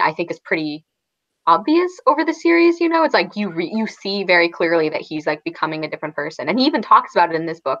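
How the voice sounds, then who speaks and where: A woman's voice: medium pitch, a bit bright. Woman, casual book discussion panelist, hesitant thinking-aloud delivery, slightly muffled lo-fi audio.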